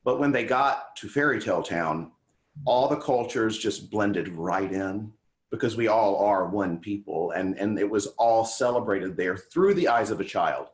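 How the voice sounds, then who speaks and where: A man's voice: on the low side, expressive, unhurried. A man hosting a conversational TV interview, speaking in an earnest, effusive and affirming way. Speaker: TV show host, interviewer.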